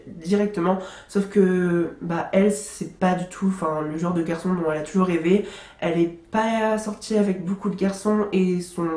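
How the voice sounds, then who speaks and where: A woman's voice: fairly low pitch, dark tone. A young woman vlogger with a stuffy nose from a cold, chatting casually about books she loves, with lots of fillers and restarts. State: congested with a cold. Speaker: book vlogger. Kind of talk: casual book review monologue. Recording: echoey room.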